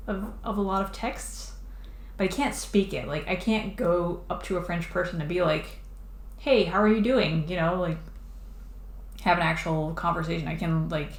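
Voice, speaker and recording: A woman streaming live while drawing a comic, chatting casually and rambling, with many fillers and restarts. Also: lowish voice. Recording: echoey room, faint background noise.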